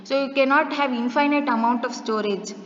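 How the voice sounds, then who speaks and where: Female lecturer, higher voice, patient question-led teaching, echoey room. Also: fairly quick. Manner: explains step by step with rhetorical questions and everyday examples. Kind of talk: recorded classroom lecture. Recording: muffled echoey room with long reverb.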